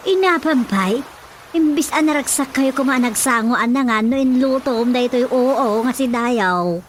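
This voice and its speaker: Actress as frank motherly Ilocano elder, highish voice. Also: moderate pace, slight background noise, natural voice. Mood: teasing, frank. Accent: Ilocano Filipino. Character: older village woman, frank, motherly confidante. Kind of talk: Ilocano radio drama acting. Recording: music bed behind the voice.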